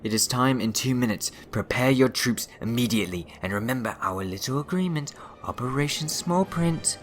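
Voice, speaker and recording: An actor playing a sly time-travelling schemer in a sci-fi fan audio drama, teasing and mock-polite. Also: male voice, mid-range voice, animated, moderate pace, a little hiss or hum, close to an everyday voice. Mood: brisk, smug. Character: sly, smug, meddling male alien time-traveller schemer. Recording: loud music behind the voice. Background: dramatic music.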